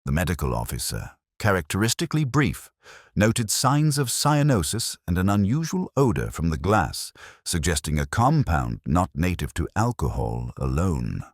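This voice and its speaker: A man's voice: sits low, very animated, moderate pace, no echo. A man narrating a scripted mystery story in a dry studio recording.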